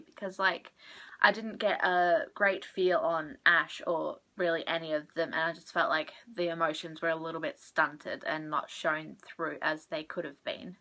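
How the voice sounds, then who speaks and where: A woman's voice: on the low side, pushed and bright. A young woman chatting casually about books she read, a little stuffed up from sneezing, rambling and correcting herself. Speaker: book vlogger. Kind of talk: casual book wrap-up chat.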